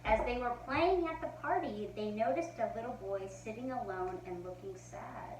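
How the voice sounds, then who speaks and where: A woman reading a children's picture book aloud as a parent, slow and careful. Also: fairly high pitch, a little mellow. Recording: roomy echo, far from the mic, faint hum.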